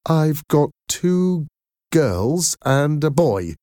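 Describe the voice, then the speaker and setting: Man voicing an anxious mother, pitch raised. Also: huge pitch swings, takes it slowly, a little mellow, no room sound, studio quality, heavily acted. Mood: nervous. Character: anxious, easily exasperated mother seeking a nanny.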